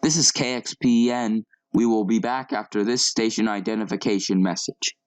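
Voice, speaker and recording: Man acting as an earnest, low-voiced news anchor. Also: steady tone, moderate pace, no echo, natural voice. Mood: grave. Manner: conversational, hesitant, editorializing. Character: male TV news anchor, earnest, shaken but composed. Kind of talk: acted local TV newscast.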